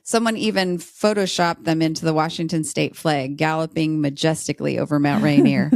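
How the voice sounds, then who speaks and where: Lower-pitched American woman telling stories. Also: steady tone, moderate pace, vocal fry, a little mellow, no room sound, clean studio sound. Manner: playful, dramatic delivery. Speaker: podcast guest. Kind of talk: lively storytelling and casual chat.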